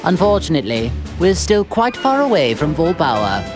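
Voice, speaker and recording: A man's voice: a little high, very animated, moderate pace. A man playing a haughty, scornful alien tyrant in a video game, imperious and theatrical. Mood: calculating. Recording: game music behind.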